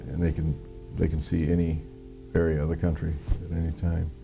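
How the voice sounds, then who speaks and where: An American man with a low, gravelly voice tells his story in an interview. His delivery is halting and matter-of-fact, with very little rise or fall in tone. Soft guitar music plays behind him. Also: completely flat delivery, muffled recording. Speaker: interviewee, former Air Force air traffic controller. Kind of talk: recounting personal experience in interview.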